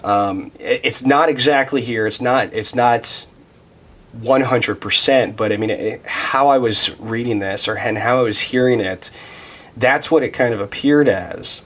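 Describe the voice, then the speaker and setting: A man's voice: mid-range voice, measured pace, fairly bright tone. A man in his thirties giving a casual devotional Bible teaching, thinking aloud with many restarts and fillers. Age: 30s.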